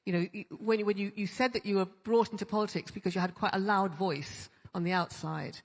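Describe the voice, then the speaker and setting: A woman's voice: mid-range voice, fairly even intonation. Chatty woman moderator in a reverberant hall. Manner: chatty, halting, many restarts. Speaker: panel moderator. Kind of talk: conference moderation. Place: large conference hall.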